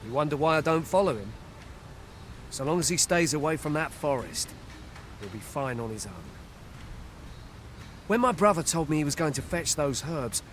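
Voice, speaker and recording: An earnest village guide from a fantasy game, protective of his brother. His voice sits a little high and. He speaks in plain, old-fashioned rustic phrases, with noisy ambience behind him. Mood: regretful. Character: worried village man, protective older-seeming brother and forest guide. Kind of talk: scripted fantasy game dialogue in archaic English.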